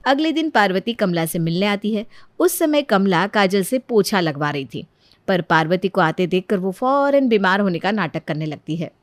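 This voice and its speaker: A woman's voice: medium pitch, lively intonation, normal speed, dry recording. A woman narrating a scripted moral story as a storyteller.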